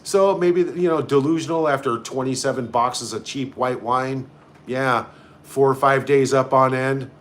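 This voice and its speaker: A man's voice: mid-range voice, fairly even intonation, measured pace. A man hosting a casual live stream, reading out comments and reacting in a blunt, sarcastic, sweary way. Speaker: livestream host.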